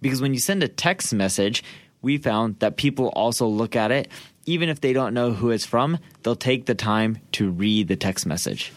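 Guy cohosting a podcast, mid pitched, dry room, casual teaching, punchy points. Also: animated, moderate pace, no room sound. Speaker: podcast co-host. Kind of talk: casual instructional talk.

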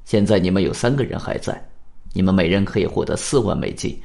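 A man playing a calm, shrewd detective in a radio drama, speaking formally in a measured, deliberate way. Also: low-pitched, vocal fry. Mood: measured, probing. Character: calm, shrewd male detective, probing and cautioning.

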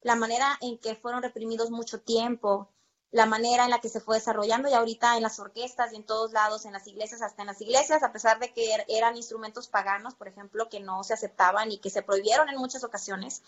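High-voiced Mexican woman, slightly dull recording. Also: flat delivery, quick pace. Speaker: interview guest, percussion teacher. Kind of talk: conversational personal storytelling.

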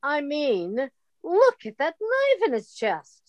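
Catty society snob, radio farce. Highish voice, lively pitch, affected. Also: female voice, takes it slowly, dry recording, dull audio, full character voice. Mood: disdainful. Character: snooty society lady, scandalised by tacky decor. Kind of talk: comedic radio play acting.

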